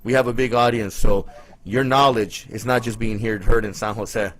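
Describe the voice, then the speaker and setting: A man's voice: lowish voice, steady tone, normal speed, a crowd in the background, poor audio. Casual, effusive male talk show host. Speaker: live show host interviewing a guest. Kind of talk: casual conversational interview.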